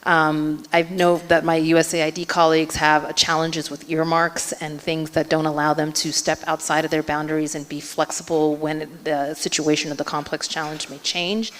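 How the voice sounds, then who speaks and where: Keynote speaker, woman with a low voice, earnest, filler-heavy delivery, in a hall with long reverb. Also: little pitch movement, moderate pace, few pauses, a little hiss or hum. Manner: earnest, hedging with frequent fillers. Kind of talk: conference panel answer. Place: conference hall.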